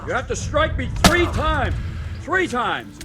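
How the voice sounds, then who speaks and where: A medieval sword instructor from a video game, stern and exacting. His voice sits high for a man and swings widely in pitch. He talks bluntly and commandingly, teaching as he goes, with music behind him. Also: wildly swooping pitch, takes it slowly, exaggerated voice. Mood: commanding, urgent. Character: stern, demanding medieval swordmaster drilling a pupil. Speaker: combat trainer.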